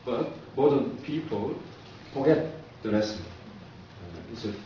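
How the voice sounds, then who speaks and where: Slow Korean-accented man in an echoey room. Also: mid-range voice, expressive, background noise. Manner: halting, short emphatic phrases. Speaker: professor, guest speaker. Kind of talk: explanatory talk in simple second-language English. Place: echoing talk room at a community centre. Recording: echoey hall from far off, muffled.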